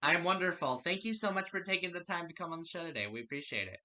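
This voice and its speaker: Bright-voiced male interview host. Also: fairly high pitch, animated, fast talker. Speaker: radio show host and interviewer. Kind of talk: prepared interview questions.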